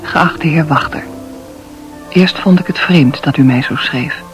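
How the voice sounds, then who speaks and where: A woman's voice: low voice, a little slow, music in the background. An actress playing a modest, politely reserved female hospital nurse in a radio play, speaking simply and matter-of-factly. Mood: reserved, friendly. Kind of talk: Dutch radio play acting.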